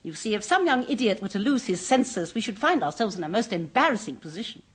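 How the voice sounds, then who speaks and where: A prim museum director. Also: female voice, medium pitch, sing-song, quick pace, husky. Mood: formal firm. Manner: formal, polite. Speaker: waxworks director. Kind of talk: 1970s radio drama acting.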